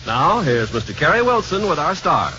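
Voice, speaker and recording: A man's voice: fairly high pitch, very animated, moderate pace, very noisy. A man announcing in a scripted 1950s radio style. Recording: muffled vintage radio, loud music.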